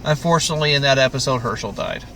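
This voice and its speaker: A man's voice: medium pitch, animated, racing, fairly bright tone, a little hiss or hum. A man from Canada co-hosting a casual TV recap podcast, opinionated and swearing freely. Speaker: podcast co-host.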